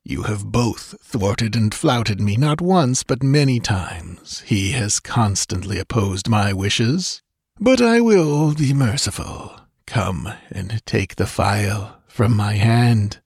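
Man acting a gravelly, mocking sorcerer-priest villain. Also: fairly low pitch, takes it slowly, hardly any pauses, no echo, studio quality, heavily acted. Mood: gloating. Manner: sardonic, purring false courtesy, taunting. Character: cruel, mocking, smugly lecherous male sorcerer-priest villain.

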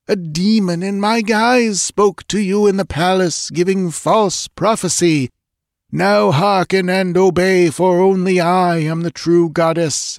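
A commanding goddess proclaiming doom from on high in a raised, strained voice with an unnatural timbre. Her words come slow and heavy, each one a decree. The recording is dry and close. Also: no room sound. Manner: slow, solemn, pronouncing doom. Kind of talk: audiobook character voice.

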